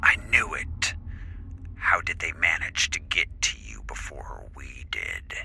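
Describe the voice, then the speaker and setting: An extremely deep-voiced, menacing corporate counselor played by a man speaks slowly and imperiously in an audio drama. His gravelly delivery has a hard, very sharp edge, carried over a crackly radio transmission. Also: sing-song, full character voice. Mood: accusing, threatening. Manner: deliberate, imperious, threatening. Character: menacing, self-important male corporate counselor villain. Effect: radio transmission with interference. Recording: faintly noisy, distorted radio transmission.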